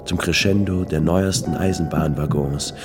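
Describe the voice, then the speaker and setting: Deep-voiced male literary narrator over music. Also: fairly even intonation, normal speed.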